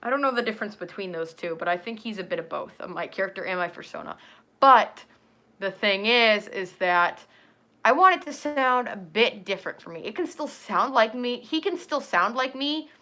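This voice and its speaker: A woman's voice: medium pitch, expressive, moderate pace, bright, forceful tone. A young woman chatting casually on a livestream, rambling with lots of fillers and talking straight to her viewers. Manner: rambling, filler-heavy, talks to chat. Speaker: furry livestreamer.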